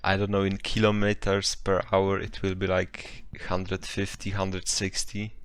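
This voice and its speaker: A man's voice: sits low, monotone, measured pace, clean tone. A young man with a Polish accent and a resonant voice, chatting casually as a podcast guest and giving short, dry answers. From Poland. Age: young adult. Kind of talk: casual chat.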